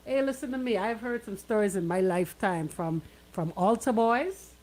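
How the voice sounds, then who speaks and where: A woman's voice: medium pitch, normal speed, a little mellow. A Bahamian woman hosting casual radio talk, emphatic, repeating her points and checking in with "right?". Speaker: radio host.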